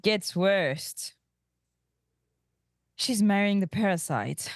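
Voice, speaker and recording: Woman playing a whiny young male ensign, wildly swooping pitch. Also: expressive, extremely slow, no echo, studio quality. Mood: dejected. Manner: exasperated, plaintive. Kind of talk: fan table read of an animated sci-fi comedy script.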